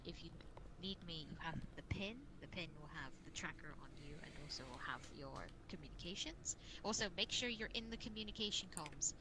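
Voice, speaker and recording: A woman's voice: low voice, lively intonation, fairly quick, few pauses. A woman in her twenties chatting casually and giving informal instructions as a roleplay group leader, often self-deprecating. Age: 20s. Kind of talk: casual improvised roleplay chat. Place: online virtual-reality voice chat. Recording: clearly noisy online voice chat.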